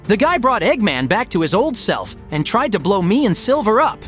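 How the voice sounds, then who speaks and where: A wry, plucky young hedgehog, played by a woman in a comic dub. He sounds casual and worn but animated, over background music. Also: mid-range voice, moderate pace, lightly acted. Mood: weary.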